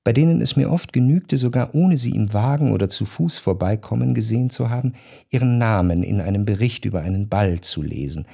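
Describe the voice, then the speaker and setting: An actor playing an introspective, yearning gentleman who narrates a literary radio drama in a measured, reflective way. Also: lowish voice, vocal fry. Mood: musing.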